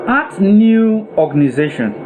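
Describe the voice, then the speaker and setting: A man with a Nigerian accent giving a deliberate, step-by-step software tutorial voice-over, phrase by phrase, as an instructor. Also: sits high. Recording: noisy room with steady air-conditioning-like hum.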